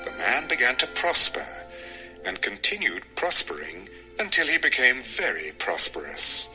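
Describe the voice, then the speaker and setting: A man narrating a dramatized scripture recording in a measured, expressive storytelling manner. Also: medium pitch, poor audio. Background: music.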